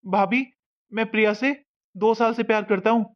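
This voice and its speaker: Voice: medium pitch, fairly even intonation, normal speed, lightly acted. An actor voicing a newly married young son in a cartoon family drama, earnest and insistent, defiant yet pleading. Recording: dull, muffled lo-fi sound.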